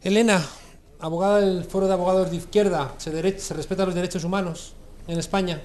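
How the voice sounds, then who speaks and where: Man, talk show host, fairly high voice, ironic, pointed questions. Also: steady tone, fast, darkish tone, slight background noise. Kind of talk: studio debate.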